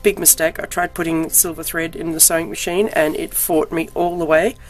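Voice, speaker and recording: A middle-aged woman with a croaky voice from being unwell, chatting casually about her craft projects with lots of ums. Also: low-pitched, little pitch movement, fairly quick, faint music behind. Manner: chatty, frequent ums, self-deprecating. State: croaky voice from illness. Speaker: crafting vlogger. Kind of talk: casual show-and-tell.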